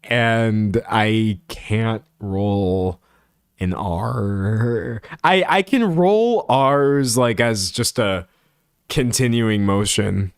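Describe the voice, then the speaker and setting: A man's voice: fairly low pitch, lively intonation, extremely slow, clean tone, dry recording, clean studio sound. A young man from San Antonio with a Texan American accent, chatting casually on a livestream, reading chat comments and laughing at himself. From San Antonio, Texas. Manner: rambling, self-deprecating, laughs often. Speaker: streamer.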